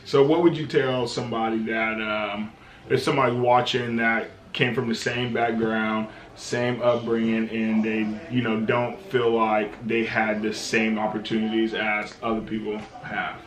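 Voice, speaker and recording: A man's voice: on the low side, little pitch movement, unhurried. An American man in his thirties hosting a casual motivational interview. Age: 30s. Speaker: interviewer, video series host. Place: indoors in a house. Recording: echoey room with background noise.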